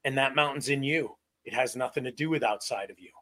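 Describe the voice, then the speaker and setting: A man's voice: medium pitch, a little fast, bright, forceful tone. A man from Vermont, co-hosting a men's talk show and reflecting earnestly, often repeating his words. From Vermont, USA. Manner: earnest, repeats words, speaks of ancestors and the soul. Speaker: co-host.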